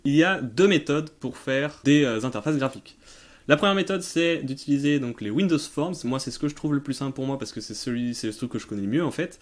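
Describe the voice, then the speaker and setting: Casual man thinking out loud. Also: mid-range voice. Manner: hesitant, thinking aloud, informal slang. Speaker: tech tutorial host answering viewer questions. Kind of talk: casual off-the-cuff explanatory commentary.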